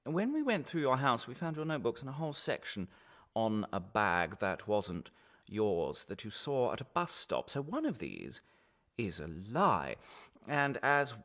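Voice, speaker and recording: An actor playing a falsely friendly, menacing interrogator in a radio drama, chatty and polite but insinuating and condescending. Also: male voice, mid-range voice, sing-song, unhurried, fairly bright tone. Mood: insinuating, accusing.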